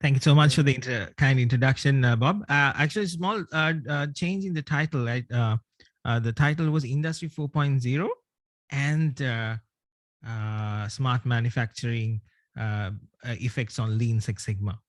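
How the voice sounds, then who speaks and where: A man's voice: medium pitch, normal speed, dry recording. A man from Sri Lanka, giving an online presentation over a video call with many hesitations. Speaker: guest speaker.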